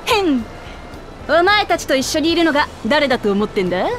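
A woman voicing a brash, tomboyish young princess in a game, speaking in a rough, boyish way. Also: sits high, very animated, a little fast, fairly bright tone, faint music behind. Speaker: princess character in a game cutscene.

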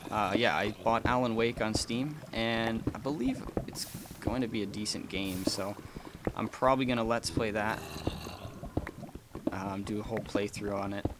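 A man's voice: lowish voice, moderate pace, noisy background. A young man casually chatting and rambling through a let's play, with frequent ums and small laughs. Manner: rambling and chatty, many ums, gasps and laughs while playing. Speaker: gaming channel host.

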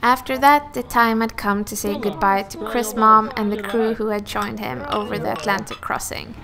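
A woman's voice: medium pitch, steady tone, moderate pace. Swedish woman narrating over music. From Sweden. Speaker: vlog narrator.

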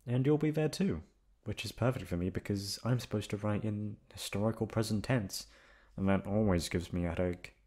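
A man acting as a college-age delinquent with a fairly low voice and a soft heart for his best friend. He talks casually and teasingly, swearing and hesitating as he goes, in an intimate ASMR roleplay. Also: normal speed, natural voice. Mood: playful, complaining. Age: young adult. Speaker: voice actor.

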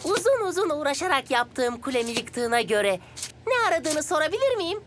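A cheeky greedy cartoon kid, really high and bouncing all over, teasing, music behind. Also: big pitch swings, normal speed. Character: cheeky, carefree, greedy olive child. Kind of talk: children's cartoon dub.

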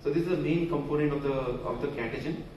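A man's voice: a little high, fast, darkish tone, a little hiss or hum. A man with an Indian English accent giving a conference presentation at a podium, talking loosely with frequent restarts and fillers. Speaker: conference speaker. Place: large conference hall. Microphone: podium microphone, recorded from far camera. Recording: echoey hall from far off.

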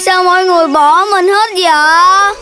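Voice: very high-pitched, fairly even intonation, moderate pace. An actor voicing a chubby, playful, easily scared child pig in a children's cartoon, with a southern Vietnamese accent. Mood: worried. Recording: loud music behind the voice.

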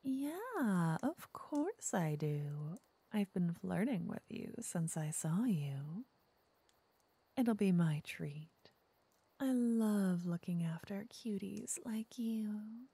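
A woman playing a flirty, teasing witch in an ASMR roleplay, softly and often in whispers, intimate and coaxing. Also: medium pitch, lively intonation, drawn-out words, exaggerated voice. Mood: flirty, tender. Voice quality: soft, breathy, often whispering. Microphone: close microphone.

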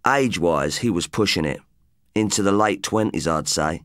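A man's voice: deep voice, expressive, moderate pace, fairly bright tone, no echo, studio quality. A man playing a boastful English rock guitarist, telling his tale in chatty, matey slang, as in an audiobook. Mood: cocky, reminiscing. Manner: cocky, matey, colloquial. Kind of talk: first-person audiobook storytelling in colloquial slang.

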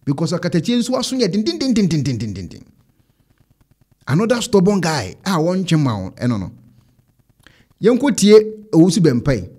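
A Ghanaian man in his thirties delivers opinionated commentary as a host. His voice sits a little high and has a raspy edge, swinging widely in pitch. He hammers points home through emphatic repetition. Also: wildly swooping pitch, normal speed, pauses often, mellow. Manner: emphatic, repeats phrases for effect. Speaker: online commentary show host. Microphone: studio microphone close in front.

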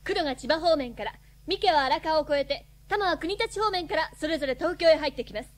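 Radio-drama cat lieutenant: very high, animated, brisk, snippy. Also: female voice. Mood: dutiful. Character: brisk female cat lieutenant, dutiful, snippy, easily annoyed.

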